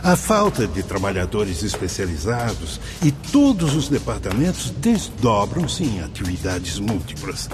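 A genial priest in a radio drama, with a lowish voice that swings widely in pitch. He explains things courteously in a Brazilian accent, with music behind him. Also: sing-song, measured pace, few pauses. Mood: explanatory. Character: genial priest, director of a spirit-world watchtower.

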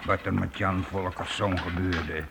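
An actor in a radio play, playing a simple, kind-hearted castle gatekeeper who talks in a hesitant, folksy, confiding way. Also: male voice, sits low, flat delivery, measured pace, creaky. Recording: noisy old radio recording.

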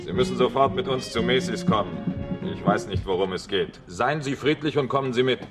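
A man's voice: mid-range voice, fairly quick, natural voice. An actor playing a firm, businesslike city policeman in a radio drama. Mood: firm, matter-of-fact. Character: firm, businesslike male New York cop. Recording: loud music behind the voice.

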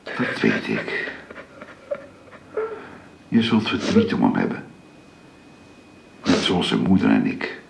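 Zeer diepe, krakende, zorgzame vader. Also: male voice, a bass voice, huge pitch swings, slow pace, gravelly, pauses often, exaggerated voice. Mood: grave, tender. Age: middle-aged or older. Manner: gentle, solemn. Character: caring, grave father, fatherly and principled. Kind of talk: Dutch radio drama acting. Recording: roomy, faintly noisy radio play recording.

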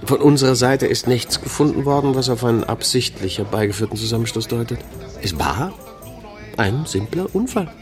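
An actor playing a sober police investigator in a radio crime drama, reporting in a matter-of-fact, official manner. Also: male voice, medium pitch, expressive, moderate pace, lightly acted. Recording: music under the voice.

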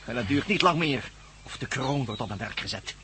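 A man's voice: medium pitch, lively intonation, a little fast, bright, forceful tone, a little hiss or hum. An actor playing a megalomaniac villain in a radio drama, menacing, pompous and theatrical, gloating over his schemes. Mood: grandiose, fanatical. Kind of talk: Dutch radio drama acting.

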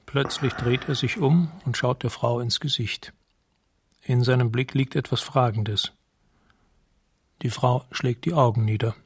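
A man narrating a scripted radio drama in a measured, matter-of-fact way, describing scenes in the present tense. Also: medium pitch, steady tone, slightly rough.

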